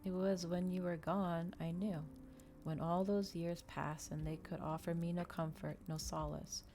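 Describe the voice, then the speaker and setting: A woman reads the part of a dying old father, frail and sorrowful. The murmured, plaintive lines come in a low, dark tone, as in a quiet gothic audiobook. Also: steady tone, a little slow, soft background music. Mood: sorrowful, regretful.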